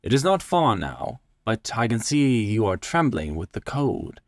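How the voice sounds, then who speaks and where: A man plays a cold gentleman avenger in a gothic storybook. He speaks slowly and deliberately with a polished, false solicitude, in a dry, close sound. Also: on the low side, very animated, dry recording. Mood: feigned concern. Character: cold, slyly polite avenger from an old family, feigning concern. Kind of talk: dramatic story reading.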